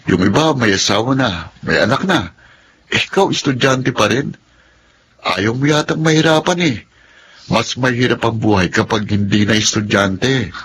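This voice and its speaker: A man's voice: medium pitch, normal speed, pauses often, fairly bright tone, slight background noise, natural voice. An actor with a Filipino accent playing a teasing young working man, an old batchmate, in an audio drama. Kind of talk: Filipino radio-drama style acting.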